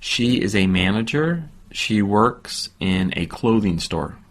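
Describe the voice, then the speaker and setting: An American man in his forties giving simple, deliberate answers in a beginner English listening lesson. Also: lowish voice, lively intonation. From San Francisco, United States. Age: 40s. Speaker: English teacher. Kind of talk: slow, simple scripted-style interview answers for beginner learners.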